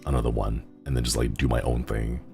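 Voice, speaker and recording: A man's voice: rumbling low, flat delivery, quick pace, vocal fry, mellow, soft background music. A man chatting casually on a livestream, reading viewer messages and rambling with frequent giggles. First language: Xenokuni (in-fiction language). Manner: rambling and easygoing, giggles often.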